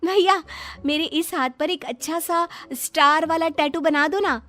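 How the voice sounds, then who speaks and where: Woman doing a high, coaxing young wife. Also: unhurried, sharp tone, exaggerated voice. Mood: eager. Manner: pleading, coaxing. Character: eager, sweet young Indian daughter-in-law. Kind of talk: animated story character acting.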